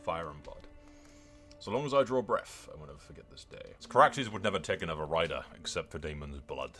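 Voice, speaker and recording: A man's voice: deep voice, lively intonation, fairly quick, a bit bright, faint music behind, pitched below the performer's own voice. A man voicing a proud, solemn young king and dragon rider in a grave, declamatory fantasy game roleplay.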